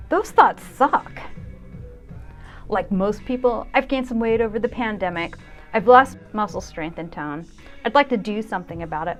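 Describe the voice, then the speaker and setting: A woman in her fifties speaks at a medium pitch, narrating a personal vlog. Her delivery is candid, reflective and wryly self-deprecating, with expressive stress on key words. Music plays faintly behind her. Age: 50s. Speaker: vlogger and narrator of own videos. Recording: music under the voice.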